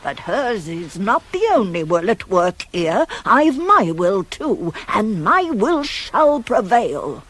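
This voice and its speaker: A woman's voice: medium pitch, big pitch swings, a bit bright, no room sound, exaggerated voice. An actress playing a devoted, worldly-wise older nurse to a queen in a radio Greek tragedy, theatrical and insistent. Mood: determined, coaxing. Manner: theatrical, insistent, measured, weighty phrasing. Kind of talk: 1980s radio drama acting of Greek tragedy.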